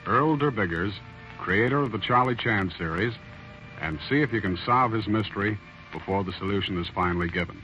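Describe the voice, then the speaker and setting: A theatrical detective host, genial; low, lively announcer; dull old broadcast sound. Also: male voice. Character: genial male detective host of a mystery show. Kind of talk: 1940s radio acting. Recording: dull old 1940s radio broadcast with music.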